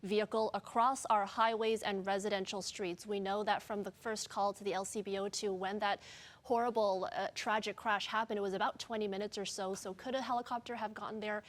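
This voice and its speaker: A woman's voice: medium pitch, fairly even intonation, fairly quick. A woman with a Canadian accent delivering a broadcast news report as a reporter.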